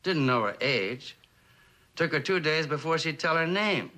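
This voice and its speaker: Old tv police lieutenant, earnest and questioning, crisp bright tone, voice moves around a lot. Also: male voice, on the high side, lively intonation, measured pace. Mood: probing, earnest. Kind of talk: mid-century television drama acting.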